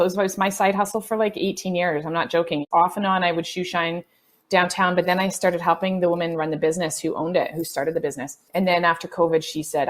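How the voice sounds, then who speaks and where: A woman in her forties with a slightly low voice chats as a podcast guest. She is informal and emphatic, telling things in an animated, conversational way. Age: 40s. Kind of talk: casual conversational interview.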